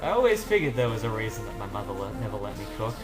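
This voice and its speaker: A man chatting casually as he plays, with a very lively, swooping delivery. He rambles and jokes, breaking into exclamations. Game music runs clearly behind him. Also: on the low side, very fast. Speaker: let's play host. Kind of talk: casual gaming banter. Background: video game music.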